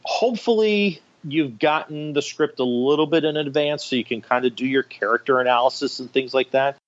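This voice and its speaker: A man with a mid-pitched voice talks as an interview guest, a director explaining his craft. He goes through things step by step in a conversational way, giving examples as he goes. The sound is slightly dull and lo-fi. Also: brisk.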